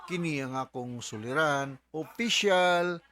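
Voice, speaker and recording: A man's voice: a little high, slow, pushed and bright, a little hiss or hum. A man with a Cebuano accent presenting a radio advice program as its doctor host, explaining point by point.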